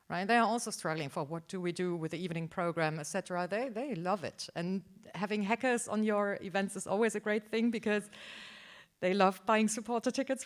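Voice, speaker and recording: A woman speaking informally as a panelist, chatty and given to wry asides. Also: fairly low pitch. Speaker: demo party organizer on a panel. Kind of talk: informal roundtable talk.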